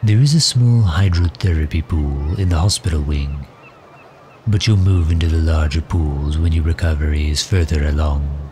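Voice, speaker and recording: A man playing a suave, protective villain in an ASMR audio roleplay, speaking in a gentle, courtly, formal and measured manner. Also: very deep voice, background noise. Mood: proud, explanatory. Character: suave, protective male villain doting on his captive guest.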